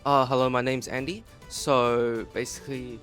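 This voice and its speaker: A man's voice: medium pitch, little pitch movement, normal speed, a bit bright, soft background music. Easygoing young man, a student. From China. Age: young adult. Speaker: university student. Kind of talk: casual interview answers.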